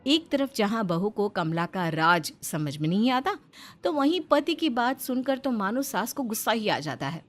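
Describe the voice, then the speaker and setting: A woman's voice: a little high, sing-song, normal speed, faint music behind. A woman reading scripted narration for a story as its narrator.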